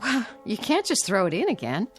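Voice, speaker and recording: An actress in a radio drama playing a test pilot's wife, light and teasing in manner. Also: female voice, fairly high pitch, huge pitch swings, moderate pace, slightly rough, faint music behind, full character voice.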